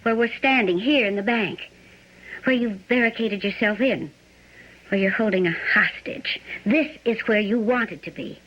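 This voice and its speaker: A woman's voice: mid-range voice, moderate pace, natural voice. An actress playing a shrewd, probing woman held hostage in a radio drama. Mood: reasoning, insistent. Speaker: actor. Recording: dull lo-fi radio broadcast.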